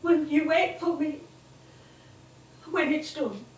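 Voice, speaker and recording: Casting: actress as ageing British music hall star, fragile, theatrical, slow delivery, heard from afar in an echoey theatre. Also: female voice, high-pitched, a little hiss or hum. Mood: wistful. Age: older. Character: ageing music hall comedienne, confused, haunted by voices. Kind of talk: theatrical stage acting. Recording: echoey theatre stage, distant mic.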